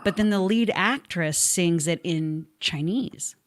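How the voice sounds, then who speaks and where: Excitable woman hosting a video call. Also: fairly low pitch, takes it slowly. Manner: excitable, playful, exclamations. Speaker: interview host. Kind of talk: casual video call interview.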